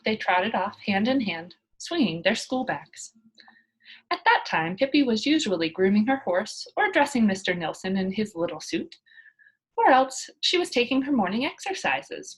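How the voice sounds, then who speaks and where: A woman reading a children's story aloud in an expressive narrating style. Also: a little high, slightly rough, rough recording. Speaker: storybook reader.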